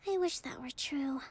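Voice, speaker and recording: A woman voicing a gentle, composed young female leader in an anime video game, thoughtful, formal and measured in manner. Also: high voice, background noise. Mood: weary, puzzled.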